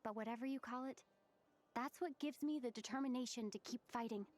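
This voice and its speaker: An actress playing an earnest, determined young heroic traveler in a video game, speaking in a measured way. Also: female voice, fairly high pitch, a little mellow, faint music behind, lightly acted.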